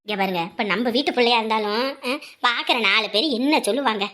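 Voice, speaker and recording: A woman's voice: fairly high pitch, quick pace, fairly bright tone, close to an everyday voice. A woman playing a gossipy, scolding mother-in-law in a family drama, with a rural Tamil Nadu accent, complaining through rhetorical questions. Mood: scandalised gossip. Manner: complaining, rhetorical questions, rustic turns of phrase.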